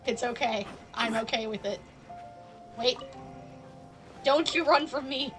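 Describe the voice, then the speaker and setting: A woman's voice: fairly high pitch, takes it slowly, fairly bright tone, soft background music. A woman game streamer chatting casually and playfully, tossing teasing asides at the game as she plays. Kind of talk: casual live-stream chat while gaming.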